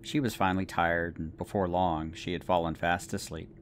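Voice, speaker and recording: A man's voice: level tone, moderate pace. A man narrating a scripted bedtime story for an audio drama. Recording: faint low rumbling noise.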